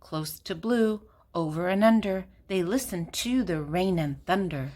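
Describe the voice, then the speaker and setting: A woman's voice: fairly low pitch, expressive, a little slow, darkish tone. An older woman reading a children's picture book aloud, speaking simply and directly to young listeners. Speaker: children's storybook reader.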